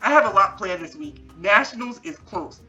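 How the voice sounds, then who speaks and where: A woman's voice: mid-range voice, lively intonation, moderate pace, pushed and bright, dull audio, faint music behind. A woman acting a bossy, scolding dance coach in a voiced roleplay series, emphatic and demanding.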